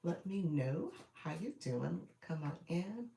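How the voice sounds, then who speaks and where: Woman, low voice, gravelly, congested with a cold, chatty live-stream coach, echoey room. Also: animated, slow pace, mellow, slight background noise. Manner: chatty, repetitive welcomes with frequent fillers and throat-clearing. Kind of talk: casual live-stream chat to viewers. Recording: roomy echo.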